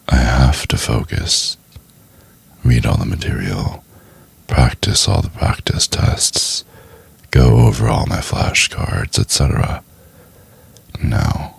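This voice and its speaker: A man playing a doting, teasing boyfriend in an intimate ASMR roleplay, murmuring in a husky, halting half-whisper. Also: extremely low pitch, no echo, exaggerated voice. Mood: gently firm. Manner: slow, halting, murmured delivery with pauses. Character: doting, teasing boyfriend trying to study for exam.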